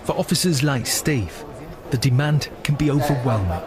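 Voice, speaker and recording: A man's voice: medium pitch, expressive, noisy background. Man narrating a documentary. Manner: measured, matter-of-fact. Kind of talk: scripted narration.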